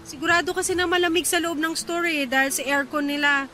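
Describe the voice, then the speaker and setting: Exasperated young woman, very high bright voice. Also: fairly even intonation, brisk. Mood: weary complaint. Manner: complaining, exasperated. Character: irritated, tired young woman stranded late at night. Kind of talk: Filipino radio drama acting.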